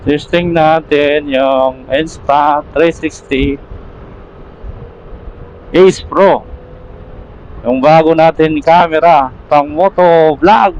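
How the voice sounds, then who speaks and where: A man with a fairly high voice chats casually for a riding vlog. He takes his time between short remarks. The sound is dull, picked up by a helmet intercom on a motorbike. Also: drawn-out words, long pauses, darkish tone, slight background noise. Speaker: motorcycle vlogger. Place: outdoors, riding a motorcycle in traffic.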